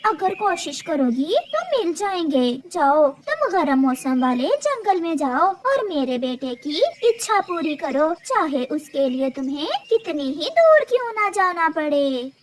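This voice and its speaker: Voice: high voice, big pitch swings, measured pace, few pauses. A performer voicing a bossy, hard-hearted female crow mistress in a cartoon, with a curt, commanding manner. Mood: demanding.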